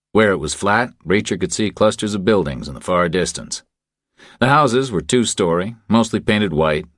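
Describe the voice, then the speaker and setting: A man's voice: sits low, no room sound, clean studio sound. A man reading a novel aloud as a scripted third-person audiobook narration.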